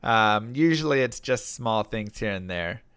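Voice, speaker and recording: A man's voice: lowish voice, expressive, unhurried, a bit bright, clean tone, no room sound. A man streaming live, casually answering chat questions and rambling with fillers and restarts. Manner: rambling, lots of fillers and restarts, reads chat messages aloud. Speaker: streamer and rapper.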